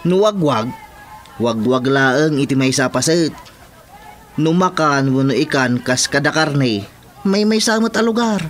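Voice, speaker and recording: A man plays a touchy village councilor in a rural radio comedy. His voice sits a bit high for a man, the sidekick answering his boss. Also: expressive, unhurried, a little hiss or hum. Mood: reporting.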